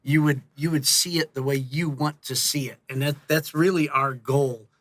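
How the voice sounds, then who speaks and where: A man's voice: on the high side, fairly even intonation, moderate pace, fairly bright tone, no echo. A middle-aged man chatting casually as a podcast guest, telling anecdotes in a conversational way. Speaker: guest, audio series creator. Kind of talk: casual podcast interview chat.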